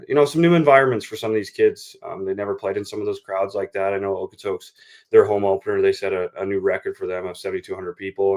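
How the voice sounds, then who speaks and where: A man with a low voice that stays fairly flat. He speaks like a coach in a sports interview, measured and explanatory, dropping in "you know" as he works through his points. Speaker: baseball coach being interviewed.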